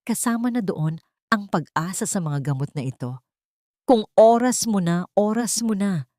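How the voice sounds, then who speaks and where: A woman's voice: mid-range voice, lively intonation, moderate pace, darkish tone, dry recording, studio quality, pitched above the performer's own voice, natural voice. A woman voicing a cruel, sanctimonious daughter-in-law in an audio story, preachy and commanding. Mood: cold, preachy. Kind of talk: audio story character dialogue.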